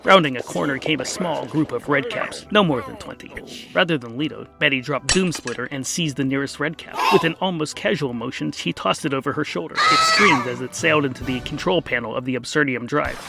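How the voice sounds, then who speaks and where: Creaky-voiced man narrating comic fantasy over music. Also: mid-range voice, animated, a little fast, few pauses. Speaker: narrator and storyteller.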